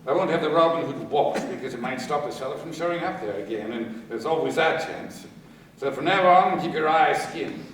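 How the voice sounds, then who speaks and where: An actor playing a shrewd, exasperated police inspector in a radio crime play, brisk and questioning. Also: male voice, fairly high pitch, a bit bright, lightly acted. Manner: brisk, questioning, musing aloud. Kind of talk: radio crime drama acting. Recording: very echoey distant room, faint noise.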